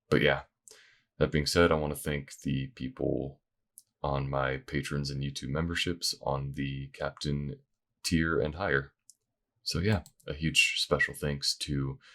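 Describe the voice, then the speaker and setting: Very deep-voiced man chatting casually. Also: fairly bright tone. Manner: informal, rambling, self-effacing. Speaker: voice actor and audio creator. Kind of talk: casual update to listeners.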